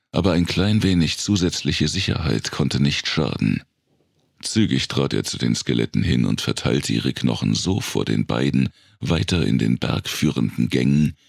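A man narrates dark fiction in an extremely low voice, thick with vocal fry and husky at the edges. His delivery is deliberate, with dramatic phrasing suited to a thriller audiobook, and the sound is close and dry. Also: no echo. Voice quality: very deep, gravelly, husky.

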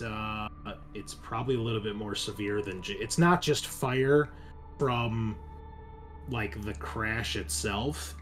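Guy game mastering, kinda low voice, explains stuff in a stop and start way. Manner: halting, explanatory. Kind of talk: improvised tabletop game narration.

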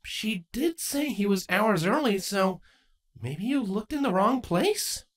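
A woman voicing a bookish, excitable young female changeling princess in a storybook reading, earnest and easily flustered. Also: mid-range voice, takes it slowly, voice pushed up. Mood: worried.

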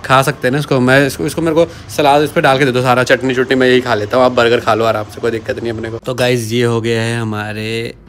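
A man's voice: medium pitch, level tone, fast, few pauses, fairly bright tone, a little hiss or hum. A man chatting playfully while filming a home cooking vlog with his phone, teasing as he goes. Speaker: vlogger. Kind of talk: casual cooking vlog chat.

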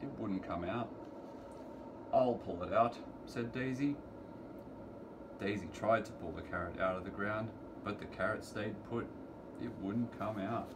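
A middle-aged man reading a children's picture book aloud to preschoolers in deliberate, sing-song storytelling phrasing, recorded in a room at home. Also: fairly low pitch. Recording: clearly noisy home recording, room ambience.

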